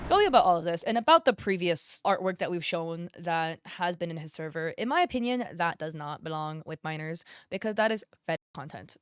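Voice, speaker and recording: A woman's voice: fairly low pitch, clear, resonant tone, no room sound, clean studio sound. A woman in her twenties giving blunt, exasperated commentary straight to her viewers while reading from a document. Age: 20s. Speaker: commentary video host.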